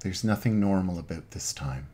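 A middle-aged man, an Anglican priest, giving an informal online sermon from his home den in measured, halting phrases. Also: low-pitched, warm tone. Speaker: Anglican priest, parish pastor. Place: small home den with bookshelves.

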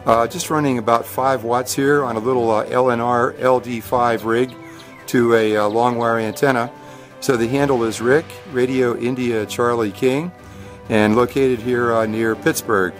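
A man's voice: medium pitch, steady tone, normal speed, faint music behind. An American man chatting casually and courteously as an amateur radio operator. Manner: friendly, courteous. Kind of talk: casual ham radio contact.

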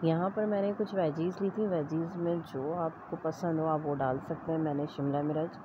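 A woman's voice: deep voice, steady tone, moderate pace. A woman giving a step-by-step recipe voice-over as host of a home cooking vlog. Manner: explanatory, step-by-step instruction. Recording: dull lo-fi audio with clear background noise.

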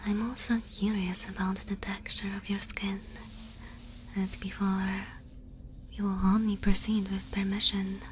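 A woman's voice: mid-range voice, a little hiss or hum, lightly acted, poor audio. A woman playing a polite, clinical, curious female alien in an ASMR roleplay, whispering in a formal, careful way. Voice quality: breathy whisper.

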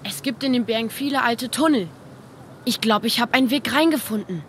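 A woman's voice: on the high side, brisk, a little hiss or hum, close to an everyday voice. A woman playing an eager, imaginative young boy in a video game, with a youthful voice. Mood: boastful. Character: eager, imaginative young boy who loves comics and exploring. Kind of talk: naturalistic video game dialogue.

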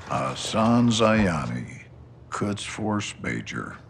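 An actor playing a gruff, impatient older US Army general with an American accent, blunt and curt. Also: male voice, on the low side, very animated, very slow, noisy background, heavily acted. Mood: wry, terse. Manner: blunt, curt, barking orders.

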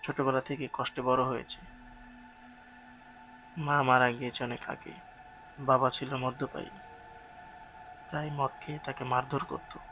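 A man narrates a dramatic horror tale in a medium-pitched voice. His sound is sharp and bright with a clear gravelly creak, and a faint bed of music runs behind his suspenseful delivery. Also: little pitch movement, a little slow, pauses often, rough recording. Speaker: horror story narrator and channel host. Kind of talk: dramatic scripted ghost story narration.

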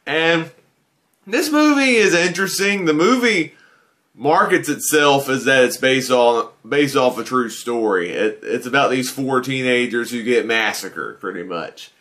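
A man's voice: medium pitch, expressive, unhurried, fairly bright tone. A man in his thirties giving a casual, earnest spoken film review from a desk webcam. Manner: conversational, earnest, uses filler phrases like "you know". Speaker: guest movie reviewer. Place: indoors in front of a green-screen backdrop.

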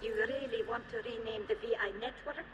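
A woman's voice: a little high, fairly even intonation, a little fast, muffled recording, close to an everyday voice. A woman playing a lighthearted, practical alien engineer in video game dialogue. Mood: light, teasing. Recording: echoey, noisy archive playback.

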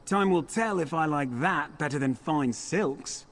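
A man's voice: fairly high pitch, measured pace, a bit bright. An actor playing a wistful, refined man of fine tastes in a fantasy game. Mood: wistful, musing. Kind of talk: scripted fantasy game voice acting.

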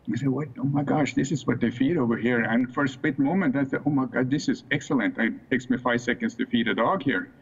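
A man's voice: mid-range voice, gravelly, fairly bright tone. A man in his sixties from Norway with a Norwegian accent, a guest expert talking unhurriedly with fillers and restarts over a video call from a small home office. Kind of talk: casual interview. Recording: muffled video call audio.

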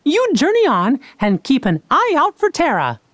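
A man's voice: very high-pitched, wildly swooping pitch, normal speed, fairly bright tone, dry recording, exaggerated voice. A man voicing a small, kindly cartoon king who talks in a folksy, reassuring way in a video game.

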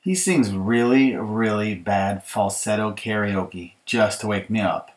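A man's voice: on the low side, measured pace, natural voice. A man reading a children's picture book as an exasperated young girl complaining about her bratty little brother, emphatic and mock-aggrieved. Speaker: author reading his audiobook.

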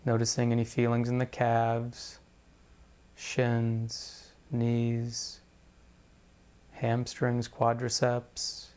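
A man with a mid-pitched voice guides a meditation practice. He speaks like an instructor, in measured, deliberate phrases. He leaves space between one phrase and the next. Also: completely flat delivery, a little mellow, clear, resonant tone. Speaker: meditation teacher.

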